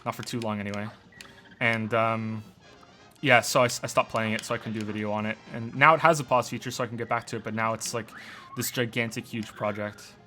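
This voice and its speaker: A man's voice: fairly low pitch, steady tone, fairly quick, bright, forceful tone, faint music behind. A man in his thirties, born in Wales, whose accent is mostly Canadian with some Welsh traces, chatting casually and rambling while he plays on a stream. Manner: rambling, stammering restarts, answers chat questions while playing. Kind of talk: casual gaming stream chat.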